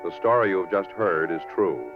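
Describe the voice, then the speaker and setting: A male announcer with a lowish voice reads in 1950s radio style. Music plays clearly behind him, and the old recording is muffled. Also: lively intonation, moderate pace. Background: orchestral music.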